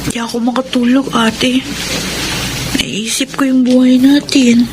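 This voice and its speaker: A woman's voice: high voice, level tone, unhurried, noisy background. A woman playing a worried, questioning schoolgirl sister in a radio drama, with a Filipino accent. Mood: wistful, worried. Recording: music bed behind the voice.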